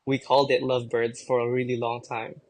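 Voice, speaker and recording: A young man from the Philippines, a podcast guest talking about his birds in a relaxed, rambling way with lots of fillers. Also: medium pitch, fairly quick. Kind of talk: casual chat.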